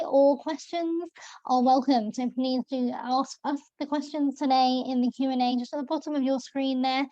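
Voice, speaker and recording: A woman opens an online webinar, cheerfully inviting questions from the audience. Her voice is high and mellow. The audio is dull and dry, like lo-fi call sound. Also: dry recording. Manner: upbeat, inviting. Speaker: webinar moderator. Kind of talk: event introduction.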